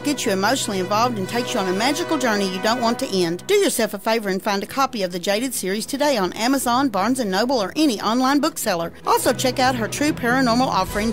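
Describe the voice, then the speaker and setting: A woman reading a scripted promotional radio ad as an announcer. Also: a little high. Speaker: advertisement announcer. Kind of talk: scripted promotional book ad read. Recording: clear music bed under the ad.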